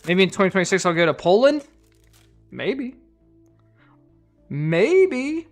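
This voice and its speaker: A man's voice: high voice, very animated, slow, soft background music. Chatty man reading donations on a stream. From United States. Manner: chatty, reads viewer donations aloud. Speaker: card collector streamer. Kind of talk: casual live stream chat.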